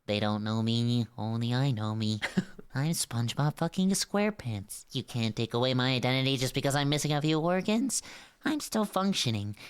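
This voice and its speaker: A man's voice: mid-range voice, lively intonation, moderate pace, clean tone, pitched above the performer's own voice, close to an everyday voice. A man voicing an unhinged cartoon sea sponge, indignant and defiant, ranting obsessively. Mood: manic, defiant.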